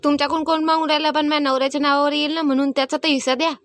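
A woman's voice: sits high, flat delivery, very fast, fairly bright tone. A woman voicing a fed-up, stubborn village daughter-in-law in a cartoon comedy, defiant and insistent, with a rural Maharashtrian accent. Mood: demanding. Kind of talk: animated family comedy acting.

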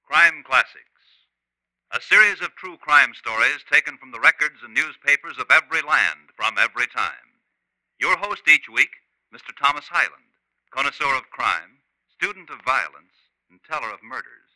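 A man's voice: mid-range voice, animated, normal speed, bright, forceful tone, no echo, rough recording. A man announcing a 1950s radio show in a formal, declamatory style. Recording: vintage 1950s radio recording.

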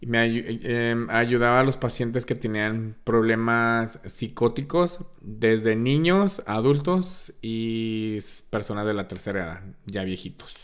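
A man in his thirties from Texas, a guest on a casual podcast, relaxed and hesitant, speaking into a studio mic. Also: mid-range voice, unhurried, hardly any pauses, smooth. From El Paso, Texas. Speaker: guest, actor and singer. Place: small decorated studio room. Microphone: studio mic on boom arm, headphones.

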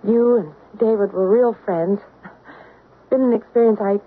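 A woman playing an earnest suburban housewife who believes in spirits, husky-voiced, in a 1970s radio drama. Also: mid-range voice, a little slow, slight background noise, close to an everyday voice. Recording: tinny band-limited 1970s radio recording.

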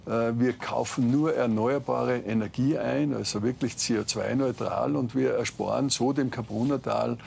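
A man's voice: mid-range voice, fairly even intonation, moderate pace, gravelly, hardly any pauses, darkish tone, a little hiss or hum. A middle-aged man, a cable car executive, answering factually with hesitant fillers inside a gondola. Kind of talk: interview.

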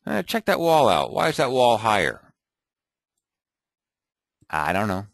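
A man thinking aloud and muttering to himself as he clicks through an off-the-cuff software tutorial at his computer. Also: on the low side, wildly swooping pitch, a little slow, creaky, no room sound. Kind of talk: off-the-cuff screen recording tutorial.

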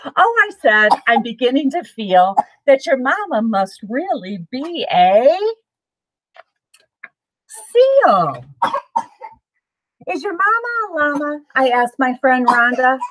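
A middle-aged woman reading a children's picture book aloud in an expressive, sing-song way for young listeners. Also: sits high, slow pace, pauses often, dry recording, poor audio. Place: small home room with bookshelves, indoors.

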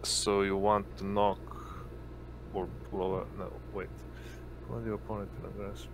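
A man running a tabletop game, talking casually and a little haltingly. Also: fairly low pitch, level tone, a little hiss or hum. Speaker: game master.

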